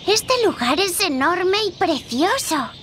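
A woman's voice: extremely high pitch, animated, takes it slowly, noisy background, exaggerated voice. An actress playing an innocent little princess girl, polite and full of wonder, in a video game. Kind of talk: animated game character dialogue.

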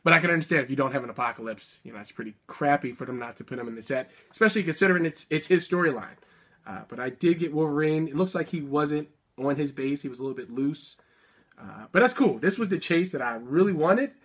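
A man in his thirties chatting casually through an unboxing at a desk, upbeat with quick little laughs, a bit sick and sniffling. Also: a little high, animated, fairly bright tone, breathy edge. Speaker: toy unboxing vlogger. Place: indoor room full of collectibles.

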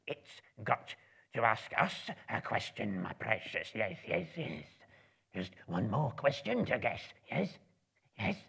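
A man voicing a slimy, spiteful cave creature with a hissing, raspy, whining voice in a dramatic storybook reading. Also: fairly low pitch, big pitch swings, a bit bright, heavily acted. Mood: impatient, wheedling. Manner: drawn-out, wheedling, muttering to himself.

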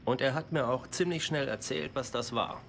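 A man's voice: medium pitch, moderate pace, gravelly, fairly bright tone, slight background noise. A man playing a pushy, menacing soldier in a dubbed war game, speaking in an insinuating, pointed way. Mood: accusing. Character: pushy, menacing male soldier confronting a comrade.

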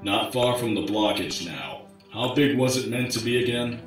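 An actor playing a weary, sarcastic, grumbling sewer maintenance worker in an audio drama. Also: male voice, mid-range voice, moderate pace, soft background music, natural voice. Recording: echoey tunnel, distant from the mic.

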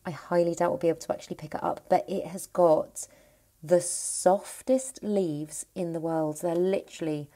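A woman's voice: on the low side, steady tone, moderate pace, dark tone. Chatty woman touring her houseplants. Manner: chatty, enthusiastic, rambling with restarts. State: voice slightly croaky. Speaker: plant vlogger. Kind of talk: casual plant collection tour. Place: indoor plant cabinet at home.

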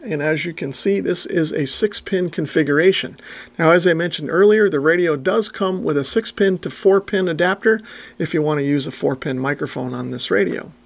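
A middle-aged man walks through a piece of gear as a reviewer. His voice sits a little higher than most men's and has a strong gravelly fry. He explains things step by step in a plain-spoken, methodical way. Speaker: radio reviewer and presenter. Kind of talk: product overview and demonstration.

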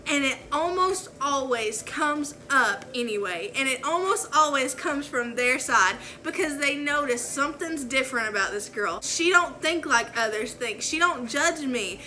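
A woman's voice: sits high, measured pace, few pauses, slight background noise. A young woman with a Southern accent, chatting earnestly about faith while folding laundry at home, talking with her hands. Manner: earnest, preachy and animated with hand gestures. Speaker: vlogger and preacher. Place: living room at home. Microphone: camera on the floor in front of her.

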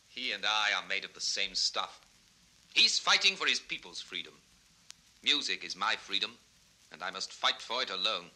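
Proud young composer, intense, very forceful tone, swings up and down, old kids record drama. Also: male voice, on the low side, full character voice, low-quality recording. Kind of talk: 1960s children's record drama acting.

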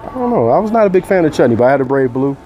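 An American man hosting a sneaker vlog in a store, bantering casually in an excitable, joking, slangy way. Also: mid-range voice, racing, rough recording. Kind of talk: casual banter while browsing. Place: inside a mall sneaker store. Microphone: handheld phone or camera mic. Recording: loud music behind, store noise. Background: loud music.

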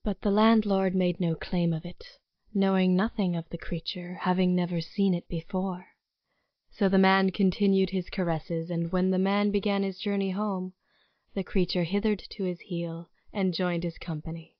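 A woman playing the composed narrator of a gothic audio drama, telling the tale in a measured, formal storytelling manner. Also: fairly low pitch, flat delivery, no room sound, highs cut off, natural voice. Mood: measured, mysterious.

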